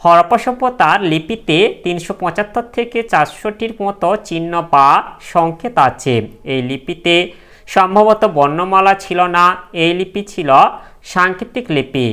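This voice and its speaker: Clear, smooth teacher reading aloud. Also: medium pitch, expressive, moderate pace, fairly bright tone. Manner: instructional, addressing students directly. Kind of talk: reading exam questions and answers aloud as a lesson.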